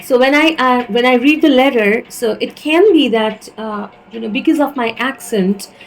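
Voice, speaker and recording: A fairly high-pitched woman with an Indian accent gives a slow, searching tarot reading, often pausing and restarting her sentences. Soft music plays quietly behind her voice. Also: low-quality recording. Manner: hesitant, many fillers and restarts. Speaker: tarot reader.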